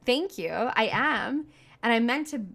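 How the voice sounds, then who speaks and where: A woman's voice: fairly high pitch, expressive, measured pace, vocal fry, a bit bright. A woman giving a motivational solo podcast talk as a coach, speaking in an emphatic, informal, slangy and outgoing way. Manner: outgoing, self-described big voice.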